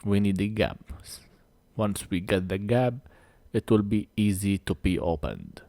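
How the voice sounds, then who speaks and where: Slow, low-voiced man, non-native English speaker. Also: animated, mellow, no room sound. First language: not English. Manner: halting, short phrases with pauses. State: short of breath. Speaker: phone repair technician presenting a tutorial. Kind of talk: hands-on repair tutorial, unscripted commentary.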